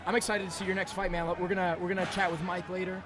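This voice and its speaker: A hoarse young man hosting a casual podcast, excitable and slangy in his talk. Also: high voice, rapid-fire, background noise. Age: young adult. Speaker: podcast host. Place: indoor event venue, at a table. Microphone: podcast microphone on boom arm. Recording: busy crowd behind the voice.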